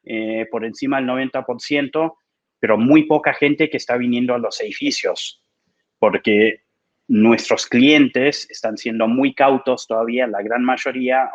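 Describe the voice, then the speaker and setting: American man on a dull lo-fi line. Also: medium pitch. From United States. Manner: thoughtful, slips into English. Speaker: panelist. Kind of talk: panel discussion. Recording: dull lo-fi sound.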